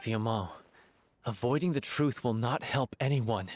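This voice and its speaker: A man voicing a husky, lovestruck young actor. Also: medium pitch, normal speed, natural voice. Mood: earnest. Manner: earnest, then shouting accusations. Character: lovestruck young male actor, earnest then furious. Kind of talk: game voice acting.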